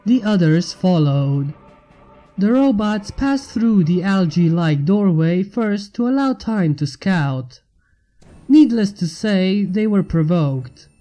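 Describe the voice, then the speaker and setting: A man narrates a fantasy tale from a script. His voice is soft-edged and mellow, with music playing underneath. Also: high voice, animated, slow. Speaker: fan fiction reader. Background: background music.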